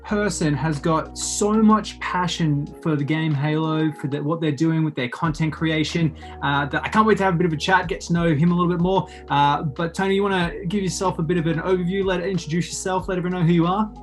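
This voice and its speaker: A man's voice: fairly high pitch, brisk, few pauses, soft background music. A man in his late twenties with an Australian accent, hosting a casual interview in an enthusiastic, informal, rambling way. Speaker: podcast host interviewing a guest.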